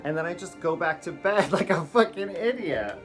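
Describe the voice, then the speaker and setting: A man's voice: a little high, animated, moderate pace, fairly bright tone, soft background music. A middle-aged man, a drag performer, telling a rambling, profane comic story in a campy, chatty way from a small room at home. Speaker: drag performer and show host.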